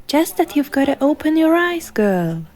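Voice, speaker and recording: A woman plays a young female mentor in a fan-dubbed comic. Her voice is high and mellow, breezy and persuasive, with a bossy, scheming streak under the friendliness. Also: sing-song, normal speed. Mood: blunt, pushy. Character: sly young woman coach posing as caring friend. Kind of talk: fan-dub comic voice acting.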